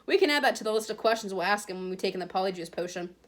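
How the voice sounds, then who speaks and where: A woman's voice: medium pitch, animated, rapid-fire, lightly acted. A woman voicing a twelve-year-old boy wizard, speaking plainly and sharply.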